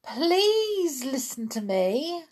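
A woman's voice: high-pitched, sing-song, extremely slow, darkish tone, pitched above the performer's own voice, full character voice. A woman voicing a sensible, hesitant little boy in a children's story, questioning and pleading to be heard. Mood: worried, pleading.

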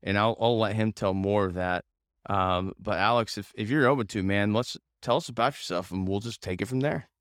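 An upbeat man with a fairly low, clean voice hosts a casual interview podcast. He sounds informal and enthusiastic, and the recording is close and dry. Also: a little fast, fairly bright tone, no room sound. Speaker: podcast host.

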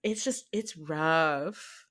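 A woman's voice: low-pitched, extremely slow, a bit bright. Woman chatting casually in a podcast conversation. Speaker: podcast guest. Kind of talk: casual chat about anime.

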